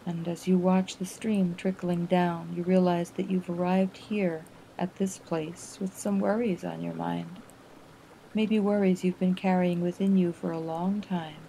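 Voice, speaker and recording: A woman narrating a guided relaxation exercise in a gentle, soothing manner, with unhurried phrasing and pauses. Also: fairly low pitch, little pitch movement, vocal fry, a little hiss or hum, rough recording.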